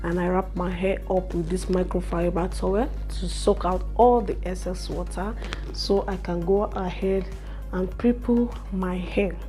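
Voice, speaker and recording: A young woman with a slightly hoarse voice, chatting slowly through a hair care vlog in a small room. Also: fairly low pitch, steady tone, mellow, faint music behind. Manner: slow, chatty explaining with fillers. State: a little hoarse from a cold. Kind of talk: casual how-to vlog.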